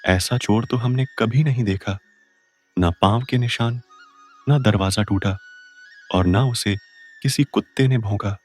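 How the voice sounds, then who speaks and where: Male actor as puzzled villager, lower register, dramatized folk story, music underneath. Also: measured pace, long pauses. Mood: baffled. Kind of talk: dramatized moral story acting.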